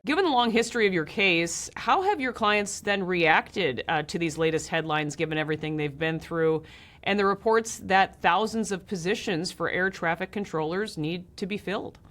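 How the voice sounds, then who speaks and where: American lady news host asking questions, serious and measured, voice a bit low. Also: fairly bright tone. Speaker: interviewer, host. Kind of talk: broadcast news interview.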